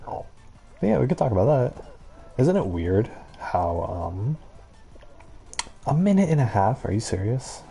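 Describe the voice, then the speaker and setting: A man with a low-pitched voice giving casual gameplay commentary, rambling in a halting way and circling back on his thoughts. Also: big pitch swings, creaky, warm tone, faint music behind. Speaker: gaming video creator.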